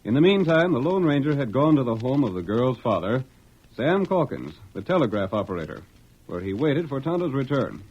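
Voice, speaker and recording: A man's voice: mid-range voice, big pitch swings, fairly quick, a little mellow, slightly rough. A man narrating an old-time radio drama as announcer, in a formal, theatrical scripted style. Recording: muffled old radio recording.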